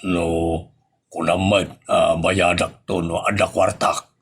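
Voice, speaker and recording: A man's voice: sits low, level tone, slow pace, a bit bright, breathy edge, exaggerated voice. A man playing a broke, resigned friend in a comedy audio drama, drawling hesitantly and placatingly. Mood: evasive.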